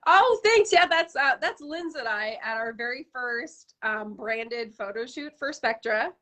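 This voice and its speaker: A woman's voice: on the high side, lively intonation, measured pace, bright, forceful tone. A woman from Canada in her thirties, a brand founder chatting in an interview over a video call, bubbly and full of "like" fillers. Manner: bubbly, gushing, many "like" fillers. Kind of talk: casual interview chat.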